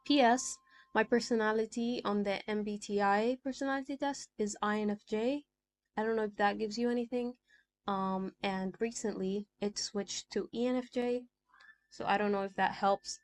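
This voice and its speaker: A woman's voice: medium pitch, steady tone, normal speed, a little hiss or hum. Young woman from sudan, arabic first language, mixed american-british accent, yaps on her podcast jumping topic to topic. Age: young adult. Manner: rambling, chatty, jumps between thoughts. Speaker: podcast host. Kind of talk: casual solo podcast chat. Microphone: small desk mic.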